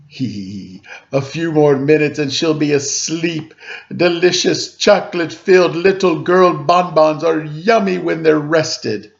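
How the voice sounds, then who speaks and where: A man's voice: a little high, a little slow, gravelly, lo-fi sound. A man voicing a gloating, hungry storybook dinosaur who schemes over sweet treats. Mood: gloating, greedy. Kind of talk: storybook character voice.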